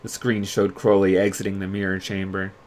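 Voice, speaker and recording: A man reading a story aloud as a streamer, in an expressive storybook narration style. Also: deep voice, brisk.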